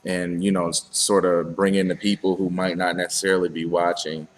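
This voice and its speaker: A man's voice: low-pitched, level tone, a little fast. A man co-hosting a casual fight-sports talk show, agreeable and full of "you know" fillers. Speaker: podcast co-host.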